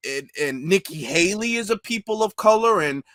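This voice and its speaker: A man's voice: high voice, animated, normal speed, sharp tone, no room sound. A man talks things through with his audience in an emphatic, conversational way, often restarting his sentences. The recording is dry and close, with almost no room sound. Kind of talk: casual discussion.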